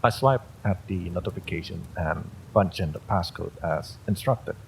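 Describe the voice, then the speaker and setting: A man's voice: low-pitched, moderate pace, vocal fry, slight background noise, poor audio. A man reading a first-person story aloud as a dramatic narrator. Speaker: story reader.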